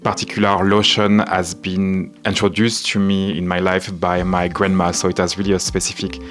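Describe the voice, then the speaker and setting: Man, French accent, deep voice, even delivery, calm vlog host, light music behind. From France. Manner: calm, measured, frequent "you know" fillers. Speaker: menswear and vintage YouTuber. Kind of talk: casual vlog talk to camera. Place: home in Southern California.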